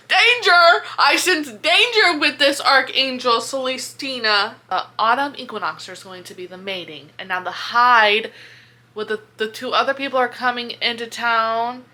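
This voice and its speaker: A young woman chatting casually about a book she is reading along with, animated and exasperated, swearing as she thinks aloud. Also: high-pitched, measured pace.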